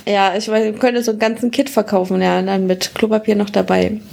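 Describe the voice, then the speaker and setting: A woman's voice: medium pitch, little pitch movement, fast talker, vocal fry, darkish tone, a little hiss or hum. A woman, a biologist co-hosting a podcast, talking in a rambling way with many fillers and dry humor. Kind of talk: casual science chat.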